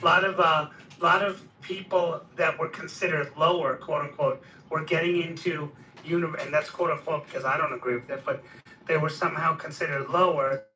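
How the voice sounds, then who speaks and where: A man's voice: fairly high pitch, fairly even intonation, normal speed, pushed and bright, recorded from a distance, slight background noise. A man hosting a discussion, probing with questions and cutting in to steer the talk. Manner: probing, interrupting. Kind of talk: podcast discussion. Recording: roomy echo, off mic.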